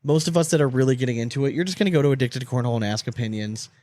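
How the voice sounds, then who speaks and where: Man, medium pitch, casual sports podcast co-host, rambling, repeats himself for emphasis. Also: racing, darkish tone, no room sound, studio quality.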